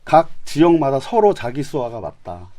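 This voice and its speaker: A man's voice: medium pitch, sing-song, normal speed, warm tone. A man guest on a radio interview, a sign language interpreter explaining things through examples. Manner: explains with examples, chuckles at himself.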